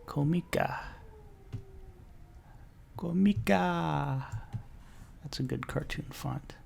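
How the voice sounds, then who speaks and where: Gravelly man rambling through a tutorial. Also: on the high side, drawn-out words, a little mellow. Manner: rambling, jokey self-talk with mild swearing. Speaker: software tutorial maker. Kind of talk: casual screen-recorded tutorial.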